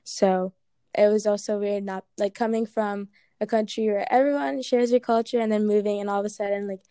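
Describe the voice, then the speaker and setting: A woman's voice: mid-range voice, fairly even intonation, brisk, dark tone, smooth, no room sound, clean studio sound. A woman from Ghana with an American accent, answering interview questions casually with many "like" and "um" fillers.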